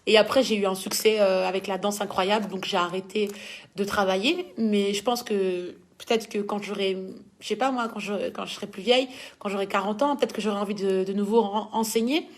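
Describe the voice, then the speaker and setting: A woman's voice: mid-range voice, fairly even intonation, a little fast. A young woman from Belgium with a Belgian French accent, chatting casually as she reads out and answers viewers' questions. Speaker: dancer answering fan questions.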